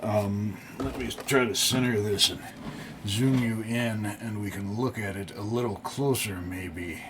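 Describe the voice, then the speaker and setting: A man presenting a casual, unscripted hobby show-and-tell, thinking aloud in a halting, rambling way. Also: lowish voice. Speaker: hobbyist video presenter restoring vintage electronics. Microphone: off-camera mic while filming the object. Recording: clear background noise.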